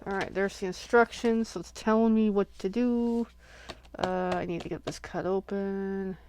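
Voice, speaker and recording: A woman's voice: mid-range voice, steady tone, moderate pace, darkish tone, a little hiss or hum. A sniffly woman hosting a casual crafting livestream, chatting and thinking aloud as she unboxes and works at home.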